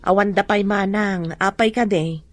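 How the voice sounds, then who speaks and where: A woman's voice: medium pitch, steady tone, moderate pace, lightly acted. An actress playing a middle-aged rural mother who defends her children's schooling, speaking earnestly. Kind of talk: radio drama acting.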